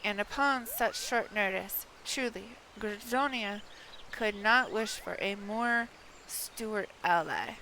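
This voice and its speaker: A woman's voice: mid-range voice, slow pace, sharp tone, a little hiss or hum, poor audio. A woman reading a stately elder leader. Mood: grave. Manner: grave, archaic phrasing.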